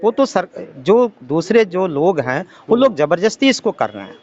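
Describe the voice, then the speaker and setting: A man from Madhya Pradesh in India answering interview questions as a protest supporter, halting and repeating phrases, on a dull recording. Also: high-pitched, sing-song, darkish tone. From Singrauli, Madhya Pradesh, India. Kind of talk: earnest spoken interview answers. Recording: dull, lo-fi audio.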